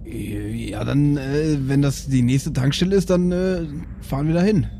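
A man's voice: mid-range voice, a little slow, creaky, warm tone, a little hiss or hum. A man playing an easygoing, simple-minded alien father in an improvised audio play, talking in a mild, rambling, casual way.

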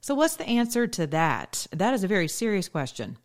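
A woman's voice: mid-range voice, big pitch swings, a little fast. An American woman hosting a political talk show in a conversational style.

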